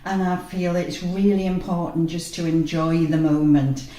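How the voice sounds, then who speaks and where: A woman's voice: fairly low pitch, steady tone, normal speed, dark tone. A woman in her mid sixties musing earnestly in an interview. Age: 60s. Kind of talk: reflective interview answers. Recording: roomy echo.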